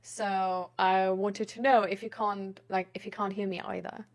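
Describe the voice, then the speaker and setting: A woman's voice: medium pitch, flat delivery, a little slow. A woman livestreamer chatting casually with her viewers in a hesitant, rambling way that often trails off. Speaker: live streamer.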